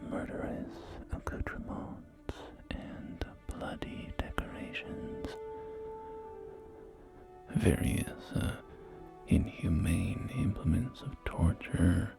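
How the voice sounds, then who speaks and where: A man playing a polite, unflappable real estate agent of the wasteland in a soft ASMR roleplay, whispering in a measured, courteous sales patter. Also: low-pitched, exaggerated voice. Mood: matter-of-fact, proud. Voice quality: soft, breathy whisper with creaky murmur. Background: music.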